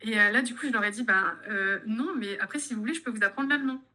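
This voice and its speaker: Fast, bright-voiced young French woman. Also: a little high. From France. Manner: chatty, tells anecdotes with laughs. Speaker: guest, physiotherapist. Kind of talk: casual interview chat.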